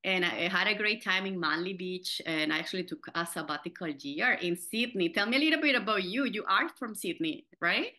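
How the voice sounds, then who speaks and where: Woman, Venezuelan Spanish accent, podcast host, bright tense tone, video call sound. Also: fairly low pitch, lively intonation, fairly quick, few pauses. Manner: hesitant, many fillers and restarts. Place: home room, indoors. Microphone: video call, webcam.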